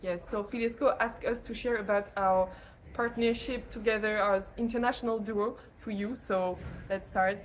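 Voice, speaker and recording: A young French woman, a native French speaker, giving a casual testimonial to camera in English, halting and searching for words. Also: mid-range voice, fairly even intonation, slight background noise, rough recording. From France. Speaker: lay missionary teacher.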